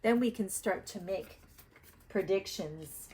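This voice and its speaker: Female primary reading teacher, very unhurried, deliberate and explanatory, reading a story aloud and thinking out loud. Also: medium pitch, husky. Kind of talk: read-aloud lesson for young children, thinking aloud.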